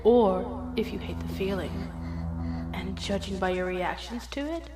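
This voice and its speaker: A woman voices a sly otherworldly villain in an audio drama. She insinuates knowingly in a mid-range voice that echoes under loud music. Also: mid-range voice, a little slow, close to an everyday voice. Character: sly female shadow being, smooth manipulator.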